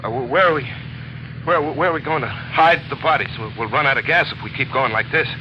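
A man's voice: medium pitch, fairly quick, lo-fi sound, noisy background, lightly acted. An actor playing a frightened but scheming taxi driver and family man in a 1940s radio mystery. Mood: nervous, pleading. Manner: stammering when speaking, hushed tense narration. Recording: old radio recording with loud music.

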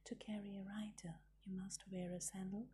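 A woman's voice: medium pitch, level tone, moderate pace, mellow, slightly rough. A woman whispering the lines of a sleepy old male farm horse in a storybook reading. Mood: easygoing.

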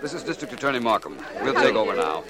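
A man acting a brisk, courteous private detective in a 1940s radio crime drama, with an American accent and an authoritative manner. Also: medium pitch, big pitch swings, a crowd in the background, close to an everyday voice. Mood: composed, probing. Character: brisk, commanding male private detective taking charge. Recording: old 1940s radio recording.

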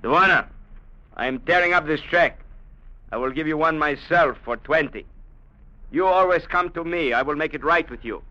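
An actor playing a brutal, arrogant captain who barks orders and sneers threats in a 1950s radio drama. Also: male voice, on the high side, moderate pace, long pauses, pushed and bright. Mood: menacing. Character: brutal, bullying trading-post captain, menacing and arrogant. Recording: dull vintage radio broadcast.

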